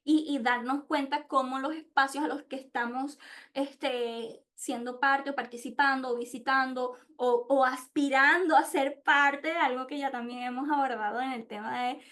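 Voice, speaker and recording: A woman's voice: high-pitched, steady tone, moderate pace, fairly bright tone. A woman gives an informal, reflective presentation, speaking candidly and often searching for words. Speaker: podcast host and international relations researcher.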